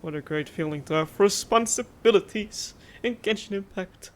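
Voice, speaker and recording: A man's voice: sits high, normal speed. A man giving chatty, casual let's play commentary and reading game dialogue aloud, with a self-deprecating manner. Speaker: gaming streamer.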